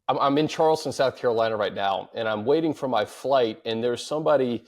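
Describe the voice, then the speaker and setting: A man's voice: medium pitch, a little fast. A man hosting a sports podcast, chatting in a casual, informal and upbeat way. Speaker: podcast host.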